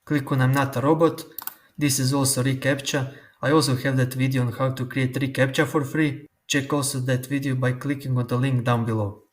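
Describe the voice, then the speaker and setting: Man explaining software steps. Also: mid-range voice, little pitch movement. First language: Croatian. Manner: slow, step-by-step instructions. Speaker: tutorial host. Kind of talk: screen-recorded software tutorial.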